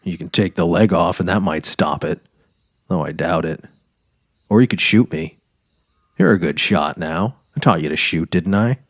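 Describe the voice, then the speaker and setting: A man's voice: low-pitched, normal speed, big-room sound, voice pushed down, lightly acted. A man playing a weary, sardonic dying writer on safari in a dramatic literary reading. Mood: sardonic, resigned. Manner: sardonic, resigned, quarrelsome.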